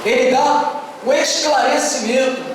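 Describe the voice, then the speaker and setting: High-voiced fervent preacher in an echoey church. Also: male voice, unhurried, noisy background. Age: 50s. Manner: fervent preaching, swinging between hushed and shouted, sing-song phrasing. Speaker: pastor. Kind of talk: sermon. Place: church service. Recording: very echoey hall, picked up from a distance. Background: music playing.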